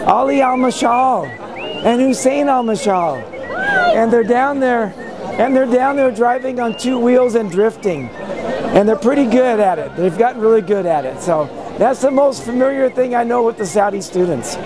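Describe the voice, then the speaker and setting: A man rambles through a long anecdote for a street interview. His voice is very high for a man, and a crowd murmurs around him. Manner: rambling storyteller. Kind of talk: casual anecdote.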